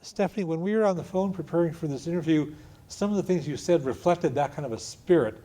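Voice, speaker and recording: A man hosting an interview program, introducing his guests and asking questions in a measured way. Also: fairly high pitch, dark tone. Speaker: radio show host.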